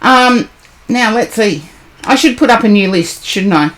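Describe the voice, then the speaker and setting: A hoarse woman talks to her live-stream chat in a relaxed, unhurried way. Her voice sits a little high, and she greets viewers by name as she goes. The talk is casual and rambling, with lots of small asides. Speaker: live-stream tarot reader.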